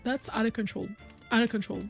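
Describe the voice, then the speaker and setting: A woman's voice: a little high, steady tone, brisk, vocal fry, faint music behind. A young woman whose first language is French, chatting casually with her viewers on a live stream, full of "like" and repeated phrases. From Quebec, originally Cameroon.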